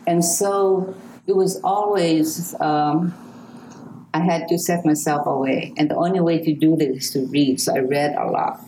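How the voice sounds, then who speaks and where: Older Hawaiian poet, woman, low and slow, measured interview guest. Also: fairly even intonation, mellow, slight background noise, poor audio. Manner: slow, measured, reflective storytelling. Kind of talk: poetry reading and interview answers.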